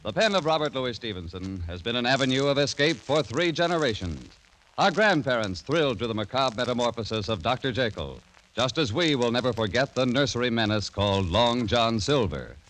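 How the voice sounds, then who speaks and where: A man's voice: medium pitch, animated, fairly quick, fairly bright tone, slight background noise, low-quality recording. A man working as a radio announcer, reading scripted narration in a formal, theatrical 1940s broadcast style. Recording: vintage radio broadcast recording.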